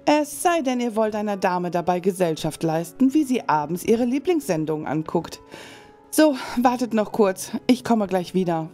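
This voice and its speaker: A woman voicing Shirley, a mysterious older medium in an audio drama, speaking in slow, halting visions. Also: medium pitch, sing-song, warm tone, soft background music, natural voice. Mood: knowing. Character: mysterious older female fortune teller. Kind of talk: German fan audio drama.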